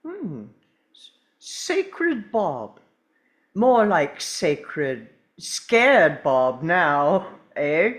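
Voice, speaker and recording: An eccentric, teasing time traveller read by a woman at a table read talks slowly, with big swings of pitch. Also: big pitch swings, exaggerated voice. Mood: teasing, breezy.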